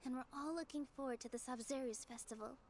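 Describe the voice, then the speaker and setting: A woman voicing a gracious young festival organizer in a game, speaking politely and warmly inviting others. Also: high voice, quick pace, heavy background noise, close to an everyday voice. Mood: welcoming. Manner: polite, welcoming. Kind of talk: game dialogue.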